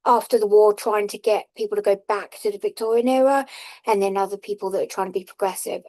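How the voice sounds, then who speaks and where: A woman on a video call describing her screenplay research, hesitant and full of fillers, with a flat delivery. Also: medium pitch, rough recording. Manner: hesitant, many fillers, self-deprecating laughs. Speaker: workshop participant. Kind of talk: casual chat in an online workshop.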